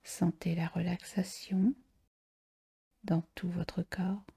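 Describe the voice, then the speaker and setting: A woman leads a guided relaxation in a very breathy, whispery voice. Her tone is dark and deeply mellow, with touches of creak. She speaks slowly and soothingly, leaving long pauses. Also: little pitch movement. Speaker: yoga nidra relaxation guide. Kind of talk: guided meditation.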